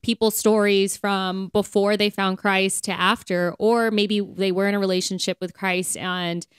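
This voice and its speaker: A woman with a smooth, clear voice at an everyday pitch. She chats as a podcast co-host about faith, earnest and informal, often leaning on "like". The sound is dry. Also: level tone, unhurried, no room sound. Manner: conversational, earnest, filler-heavy with frequent "like". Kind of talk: casual faith podcast chat.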